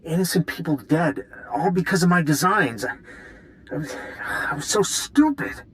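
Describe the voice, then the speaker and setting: A man's voice: on the high side, expressive, moderate pace, fairly bright tone, a little hiss or hum, poor audio. A man voicing a nervous, guilt-ridden weapons designer in a video game, hesitant and stammering as he apologises. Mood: anguished, remorseful. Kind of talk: video game cutscene acting.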